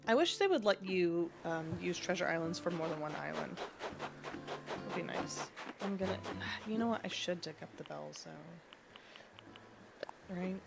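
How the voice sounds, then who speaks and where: A woman's voice: fairly low pitch, animated, measured pace, gravelly, pauses often, music in the background. A sniffly woman streamer chatting casually and thinking aloud while she plays a game. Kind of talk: casual gaming chat.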